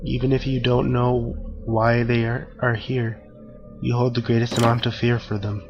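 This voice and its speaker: A man hosting a horror game playthrough, gravelly and a bit hoarse from allergies, joking and talking back to the game. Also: mid-range voice, little pitch movement, normal speed. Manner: jokey, talks to the game, gasps at scares. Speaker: gaming channel host. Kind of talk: casual horror game commentary. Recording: dull lo-fi audio with game music. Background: game music.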